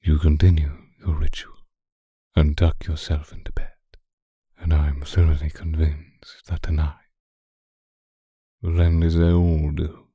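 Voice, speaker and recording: A man playing an obsessive, seductive vampire in an intimate, hushed ASMR roleplay, speaking deliberately and often whispering close to the microphone. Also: flat delivery, no echo, lo-fi sound, heavily acted. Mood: brooding, intimate. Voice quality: very deep, breathy, husky, often whispering. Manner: slow, deliberate, hushed, formal wording. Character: seductive male vampire stalker, obsessive and predatory. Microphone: close microphone, dry sound.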